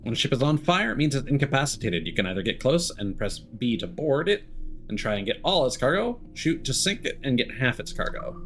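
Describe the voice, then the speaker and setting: A man's voice: medium pitch, very animated, a little fast. A man doing casual live gameplay commentary as a streamer, muttering to himself as he plays. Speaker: game streamer. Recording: loud game audio and music behind.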